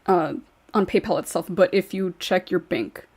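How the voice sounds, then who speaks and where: A woman moderating a casual podcast chat as host, speaking with a husky, gravelly voice. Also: lowish voice, moderate pace, crowd noise.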